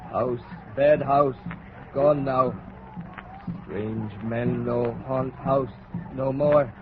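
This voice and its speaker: A man playing a grave native plantation worker in 1940s radio drama, speaking halting broken English. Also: mid-range voice, steady tone. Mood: grave, insistent. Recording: tinny, noisy old radio recording.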